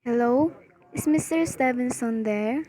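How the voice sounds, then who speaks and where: A woman's voice: on the high side, measured pace, warm tone, lightly acted. A woman playing an anxious, frail and insistent housewife in a radio play. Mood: puzzled. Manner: fretful, insistent. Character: anxious, frail housewife alone at home, complaining. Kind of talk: radio drama acting.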